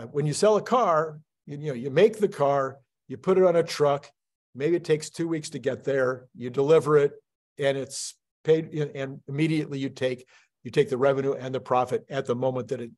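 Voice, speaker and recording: A man's voice: mid-range voice, darkish tone. A man hosting a channel, talking casually and emphatically as he walks through spreadsheet projections. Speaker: host of a finance channel.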